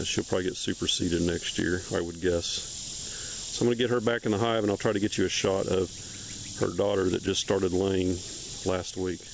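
A man casually narrating a hive inspection outdoors, with an unhurried, plain-spoken, matter-of-fact way of talking. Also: sits low, gravelly, a little hiss or hum, poor audio. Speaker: beekeeper and video host. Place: outdoors among trees beside beehives.

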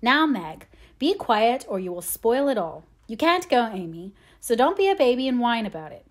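A woman's voice: high-pitched, sing-song, normal speed. A woman voicing a hot-tempered, impatient older sister who scolds brusquely in a storybook reading.

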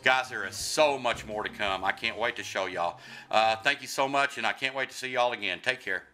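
A man's voice: lowish voice, brisk, music in the background. A man with a Kentucky accent hosting a hands-on tech tutorial in a folksy, enthusiastic, repetitive way. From Kentucky, USA. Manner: folksy, enthusiastic, repeats himself. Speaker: HVAC contractor hosting tech tip videos.

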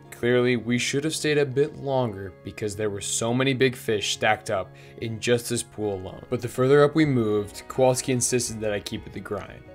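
A young man vlogging while fly fishing outdoors by a river, chatting casually and narrating over the footage. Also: medium pitch. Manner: excitable, shouts during catches.

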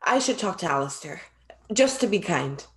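An earnest immortal muse played by a young woman in a theatrical reading. Her voice sits on the low side, and she words things formally and precisely. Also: sing-song, normal speed. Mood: tender, decided. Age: young adult. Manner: formal, precise, avoids contractions. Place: indoor room at home, video call.

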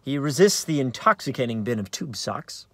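Man, thirties, American accent, mid pitch, actor's narration, halting conversational delivery, uneven emphasis, car interior. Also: big pitch swings, fairly bright tone, no room sound. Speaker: actor in a staged reading. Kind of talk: dramatic narration of a play read on book. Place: inside a parked car in daylight.

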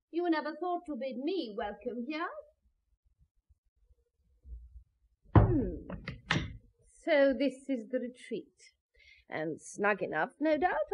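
A woman's voice: high voice, a little mellow, slight background noise, poor audio. An actress playing a cruel, mocking lady of the manor in a 1970s radio drama, with a sneering, imperious manner. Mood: cold sarcasm.

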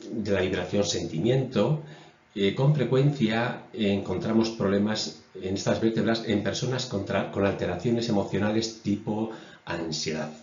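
A man in his sixties, a naturopath and doctor, giving a measured lecture from a webcam at his desk. He repeats key phrases and uses frequent "eh" fillers. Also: on the low side, darkish tone. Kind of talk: explanatory lecture while drawing on a diagram. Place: indoors in front of a stone wall. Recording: roomy echo, dull lo-fi sound.

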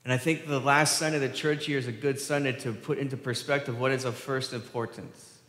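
His voice rings with long reverb through a big, echoey sanctuary. He is an American man of medium pitch, preaching from the pulpit. He explains things informally, as in conversation, and leans on repeated phrases for emphasis. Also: steady tone, brisk, fairly bright tone. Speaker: pastor preaching. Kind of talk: conversational church sermon. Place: large church sanctuary, at the pulpit.

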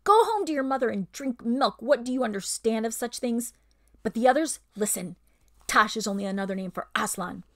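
A woman's voice: fairly high pitch, expressive, a little fast, fairly bright tone. A woman voicing a scheming, bullying male ape who snarls and lords it over the other animals in a storybook reading. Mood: snarling. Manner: snarling, domineering, sly.

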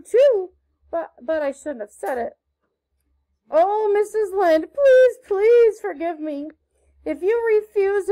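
A woman's voice: very high-pitched, mellow, voice pushed up, low-quality recording. A woman voicing a dramatic, imaginative orphan girl who pleads effusively in a storybook reading.

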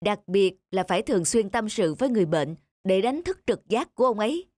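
A woman playing a conscientious, reassuring hospital doctor who explains and advises, in an animated moral story with music behind. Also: medium pitch, dry recording, natural voice. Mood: advising, reassuring. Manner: explanatory, measured advice-giving.

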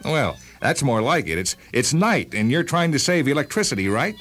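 A man's voice: mid-range voice, big pitch swings, normal speed, a bit bright, faint music behind. A man playing a cranky middle-aged store owner who grumbles and complains irritably in a radio-style story. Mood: irritable complaining. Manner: irritable, grumbling, hesitant starts.

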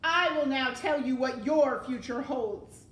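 A woman's voice: medium pitch, unhurried, fairly bright tone, roomy sound, a little hiss or hum. Woman playing a cruel, pompous rat villain. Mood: coldly menacing. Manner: pompous, formal threats. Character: cruel, scornful, commanding male rat villain.

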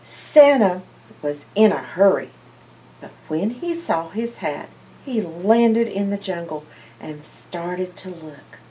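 A woman's voice: on the low side, takes it slowly. An older woman reads a picture book aloud to young children as a storyteller, expressively and with questions to her listeners. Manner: expressive, asks listeners questions, animated reactions. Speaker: library storyteller. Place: indoor library, seated by bookshelves. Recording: slightly distant microphone.

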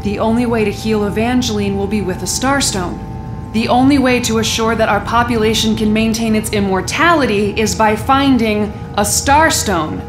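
A woman's voice: a little high, moderate pace, lightly acted. Actress doing tough immortal lady leader, firm and commanding, echoey room, music behind. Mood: determined. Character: steely, determined immortal woman leader. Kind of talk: audio drama acting. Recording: roomy echo, music underneath.

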